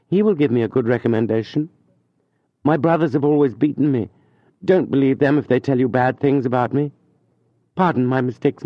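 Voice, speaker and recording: A man's voice: medium pitch, no echo, dull audio, voice pushed up, natural voice. A man voicing a poor, shy young tutor who speaks earnestly and falters at times.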